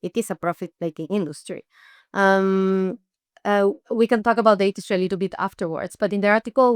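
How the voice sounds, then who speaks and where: A woman from Peru, an NGO research head, explaining things in an interview with measured, rhetorical questions. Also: on the low side, clean tone, no room sound, clean studio sound.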